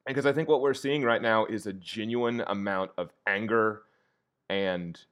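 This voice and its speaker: A man's voice: on the low side, normal speed, fairly bright tone. A man who teaches history, speaking as a podcast guest in an earnest, reflective way with a soothing voice. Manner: earnest and reflective, many restarts and fillers. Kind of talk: casual interview conversation.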